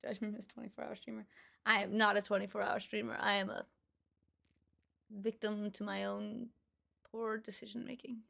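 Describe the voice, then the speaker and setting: A woman's voice: medium pitch, flat delivery, moderate pace, gravelly, pauses often, fairly bright tone. A woman livestreamer chatting casually with her viewers, musing aloud and reading out their comments. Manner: chatty, musing, reads out chat.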